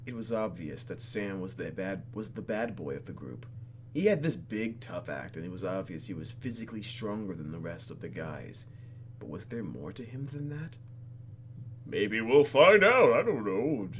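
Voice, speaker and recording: Playful man with lively pitch, gaming host. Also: normal speed, slight background noise. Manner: playful, jokey asides. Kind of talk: let's play commentary with expressive read-aloud narration. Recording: game music under commentary.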